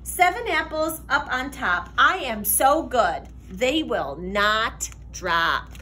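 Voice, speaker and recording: A young woman reading a children's picture book aloud like a teacher, expressive, sing-song and deliberate. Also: a little high, a little hiss or hum. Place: classroom, indoors.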